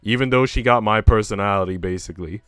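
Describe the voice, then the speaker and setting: A man's voice: lowish voice, fast, a bit bright, clear, resonant tone, no echo, studio quality. A man livestreaming in casual chat, slangy and bantering with mock outrage.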